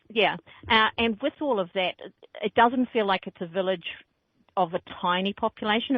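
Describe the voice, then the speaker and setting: A woman's voice: mid-range voice, dry recording. Woman radio host doing psychic readings, playful and jokey, dry close sound, narrow phone-like audio. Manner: playful, jokey, laughs often. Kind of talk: casual call-in radio chat.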